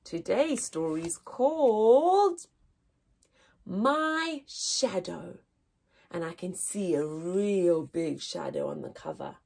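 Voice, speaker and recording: A woman reading aloud to young children as a story-time teacher, expressive and sing-song with exaggerated wonder and a breathy voice. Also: lowish voice, slow pace.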